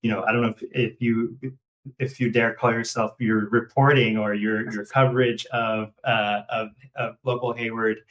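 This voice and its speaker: A man with an American accent hosting an interview in a halting, wordy, conversational way, circling around his questions. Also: lowish voice, level tone, a bit bright, dull audio. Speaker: podcast host.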